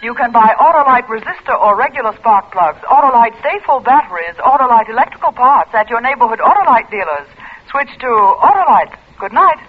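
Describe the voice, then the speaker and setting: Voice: medium pitch, expressive, fairly quick. A commercial announcer reading a scripted 1940s radio advertisement. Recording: thin phone-like old radio, faint noise.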